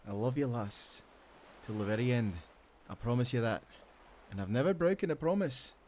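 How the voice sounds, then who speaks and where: An actor playing a devoted, playful sailor husband with a Scottish accent, speaking tenderly in old-fashioned seafaring language for a romantic audio roleplay. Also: male voice, medium pitch, expressive, normal speed, a little hiss or hum, lightly acted. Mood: devoted, solemn. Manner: tender, teasing, given to soft chuckles.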